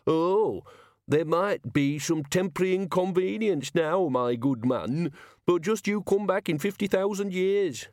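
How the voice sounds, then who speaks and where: A man's voice: high-pitched, a little slow, darkish tone, no room sound, studio quality, voice pushed up. A man voicing a pompous, condescending educated wizard in a strangled nasal voice for an audiobook.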